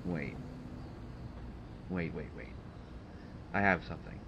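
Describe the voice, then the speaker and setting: A man playing an exasperated, haughty supervillain who grudgingly helps his hero, talking haltingly and with dry sarcasm in a roleplay audio drama. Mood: distracted, searching. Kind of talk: roleplay audio drama, one side of a dialogue. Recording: dull lo-fi audio, loud ambient rumble.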